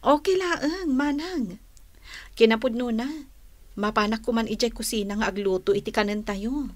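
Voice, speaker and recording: Woman acting a high-voiced, kindly young lady. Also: moderate pace, no room sound, lightly acted. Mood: kindly, polite. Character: kind, considerate young lady of the house. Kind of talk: Ilocano radio drama acting.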